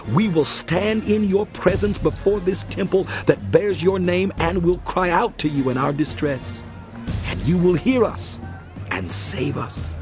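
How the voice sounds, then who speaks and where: A man's voice: on the high side, darkish tone. An actor playing a devout king in a dramatized audio Bible, imploring and declaiming with fervour. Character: pleading devout king of Judah, fervent, anguished. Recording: music behind the voice.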